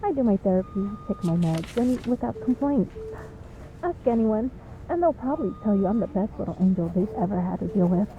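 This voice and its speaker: A cheeky female supervillain played by a voice actress in a comedy audio drama. Her voice sits at a middle pitch, and she teases with breezy, smug sarcasm, entirely at ease as a troublemaker. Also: animated, brisk, mellow, muffled recording, natural voice. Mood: smug teasing. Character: cheeky, smug woman supervillain, frequent hospital patient. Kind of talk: comedic audio roleplay acting.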